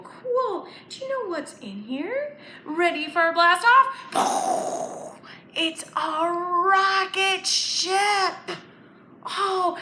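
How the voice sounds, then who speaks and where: A woman presenting a children's story time, speaking in an animated, clearly enunciated way as she invites young children to join in with letters, songs and counting rhymes. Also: high-pitched. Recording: roomy echo, faint background noise.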